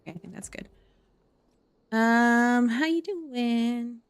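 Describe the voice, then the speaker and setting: A woman's voice: a little high, slow pace, clean tone, no echo. A woman livestreaming a game, chatting casually and playfully and greeting viewers as she goes. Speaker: live game streamer. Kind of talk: casual livestream chat, reading game dialogue aloud. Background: faint background music.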